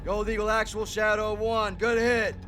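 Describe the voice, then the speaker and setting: A man playing a gung-ho, swaggering assault commander in a war game, forceful and cocky over loud music. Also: high voice, level tone, normal speed, natural voice. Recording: loud game score and engine noise.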